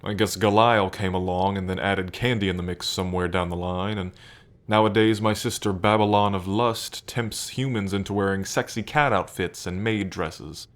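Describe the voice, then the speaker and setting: A man's voice: low voice, steady tone, normal speed, natural voice. A man playing a smug, teasing demon prince of pride in an ASMR roleplay, drawling and playfully taunting the listener. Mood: wry, nostalgic.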